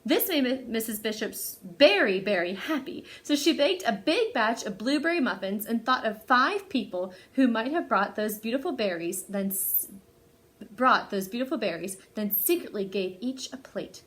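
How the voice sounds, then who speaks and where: Lively, high-voiced woman hosting children's storytime. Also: normal speed. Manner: animated, addresses young children directly. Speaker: library storytime host. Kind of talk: expressive children's storybook read-aloud.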